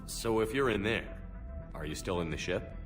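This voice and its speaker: Man voicing a deep-voiced hard-edged commander. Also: expressive, moderate pace, natural voice. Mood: terse. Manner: terse, firm, low-key. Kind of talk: video game voice acting. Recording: game cutscene with music.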